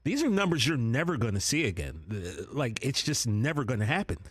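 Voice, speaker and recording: A man's voice: mid-range voice, sing-song, a little fast, husky, dry recording. A man on a sports talk show speaking earnestly and personally, building to a play-by-play recap. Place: studio set. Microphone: desk broadcast mic.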